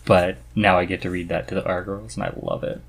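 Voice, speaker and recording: Podcast host, male, deep voice, conversational and a bit halting, recorded with light noise behind him. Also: level tone, creaky. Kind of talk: casual conversation about reading.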